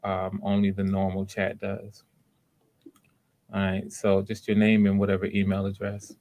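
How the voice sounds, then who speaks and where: A man hosting a livestream, explaining things casually in a halting way and often repeating himself. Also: lowish voice, monotone, a little mellow. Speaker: livestream host running voice auditions. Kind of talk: casual live announcements to viewers.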